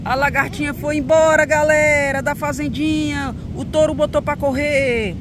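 A woman narrating children's toy play outdoors in a sing-song, animated way, with playful sound effects, recorded on a phone. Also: sits high, moderate pace. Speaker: host of a kids' toy channel. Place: outdoors on grass in a beach park. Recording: outdoor noise with passing traffic.

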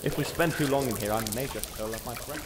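A man's voice: medium pitch, quick pace, natural voice. A man playing an exasperated, squeamish swordsman with a dry, sarcastic, fussy manner in a comedy fantasy cartoon. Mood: disgusted. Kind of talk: comedic animated fantasy voice acting. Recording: echoey sewer-like reverb with noise.

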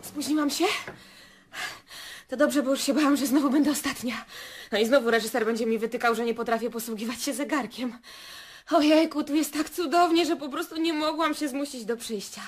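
An actress playing a chatty, starstruck, envious actress in a radio play, gushing, girlish and excitable. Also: high voice, brisk. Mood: gushing, breathless excitement.

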